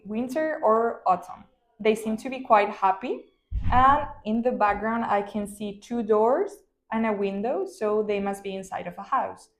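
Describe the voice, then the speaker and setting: A woman in her twenties, a native Spanish speaker, giving steady, thoughtful answers in a practice speaking exam. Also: medium pitch. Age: 20s. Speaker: student, exam candidate. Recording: echoey room.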